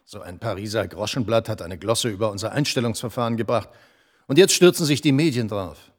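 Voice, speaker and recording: An actor playing a smooth, condescending senior EU official lecturing a junior. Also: male voice, lowish voice, fairly quick, natural voice. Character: smooth, scheming male EU director-general. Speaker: boss. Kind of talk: TV drama acting.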